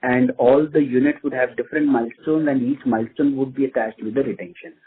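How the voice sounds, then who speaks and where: An Indian-accented man, a finance executive, answering investor questions in a measured, formal way with repeated words. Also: medium pitch, fairly even intonation, husky. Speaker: company finance executive on an earnings call. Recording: muffled narrow phone-line audio.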